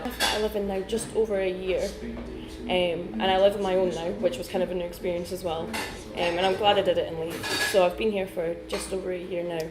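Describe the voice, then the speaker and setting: A young woman chats casually about her life, quick and filler-heavy. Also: on the low side, little pitch movement, echoey room, music in the background, poor audio. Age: young adult. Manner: quick, chatty, frequent fillers. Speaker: interviewee. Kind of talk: casual personal chat.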